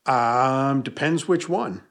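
A man's voice: mid-range voice, takes it slowly, a bit bright. A man, an author and podcast guest, telling stories in a rambling way and correcting himself as he goes. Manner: rambling storyteller with self-corrections. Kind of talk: casual podcast interview.

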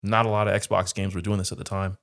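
Very deep, gravelly man telling a story. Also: flat delivery, fast, a bit bright. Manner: laid-back storyteller, lots of fillers. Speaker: podcast co-host. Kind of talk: casual storytelling.

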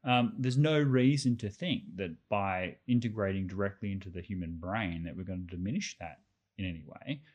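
A man co-hosting a casual discussion, earnest and argumentative, often restarting his words, his voice slightly croaky. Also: sits low, a little fast, darkish tone. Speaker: podcast co-host.

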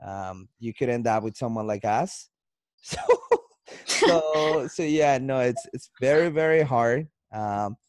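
A man's voice: medium pitch, lively intonation, takes it slowly, no room sound. Casual man with a Colombian accent. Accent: Colombian Spanish. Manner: loose and chatty, with frequent restarts and laughs. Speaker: podcast host. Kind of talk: casual podcast chat.

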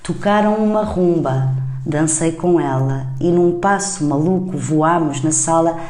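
A woman's voice: sits low, measured pace, mellow. A woman hosting a poetry conversation, reading and talking about verse, recorded in a roomy space. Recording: roomy echo, faint background noise.

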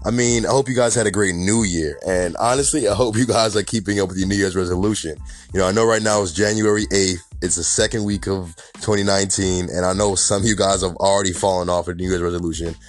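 A man with a New York accent hosting a casual solo podcast, talking in a chatty way full of "you know" and "honestly," his voice raspy and hoarse from a slight cold. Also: low-pitched, fairly even intonation, fast, soft background music. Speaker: podcast host.

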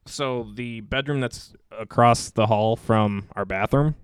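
A male podcast co-host with a medium-pitched voice and a blunt, informal way of talking. He banters casually, and the recording is very dry. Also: slow pace, smooth, no room sound. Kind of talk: casual banter among friends.

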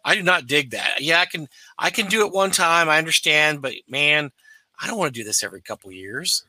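A hoarse man whose voice is wearing out chats cheerfully on a podcast. His tone is bright and sharp and swings up and down a lot, and the sound is dry and close. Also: on the high side, lively intonation, a little fast, no room sound. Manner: chatty, laughs easily. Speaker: podcast co-host. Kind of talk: casual chat.

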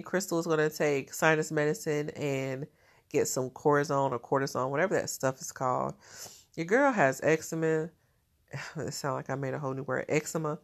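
A woman with a nasal, stuffed-up voice talking in a casual diary vlog, chatty and laughing often. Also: deep voice. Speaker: vlogger.

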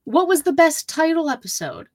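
A woman's voice: high-pitched, sing-song, moderate pace, no echo, studio quality. A woman co-hosting a podcast, chatting casually as a wrestling fan. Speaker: podcast co-host.

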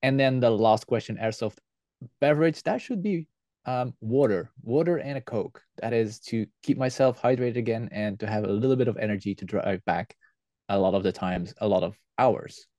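Podcast guest: male, relaxed and fairly low voice, close dry sound, explains things earnestly. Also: moderate pace, no room sound. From Belgium. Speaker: podcast guest, airsoft team leader. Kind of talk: conversational interview answers.

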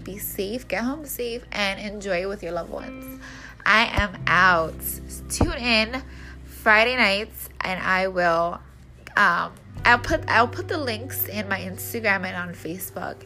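A woman's voice: mid-range voice, bright, forceful tone. A woman podcast host with a congested, nasal voice, chatting casually and in slang straight to her listeners. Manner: informal, slangy, addresses listeners directly. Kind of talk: casual solo podcast promo chat.

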